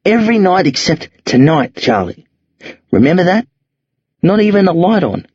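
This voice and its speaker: Man voicing tough teenage boy, husky, low and rough, slangy, dull lo-fi sound. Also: very animated, moderate pace, a little mellow, dry recording, exaggerated voice. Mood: brooding. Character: streetwise teenage boy, tough, pleading, wary. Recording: dull lo-fi audio.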